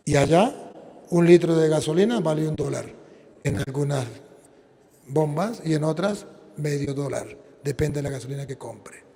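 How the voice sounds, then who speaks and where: Venezuelan priest preaching in a reverberant hall. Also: male voice, fairly high pitch, a little mellow. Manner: preaching with slow, emphatic stresses, then plain appeal. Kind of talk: sermon and prayer.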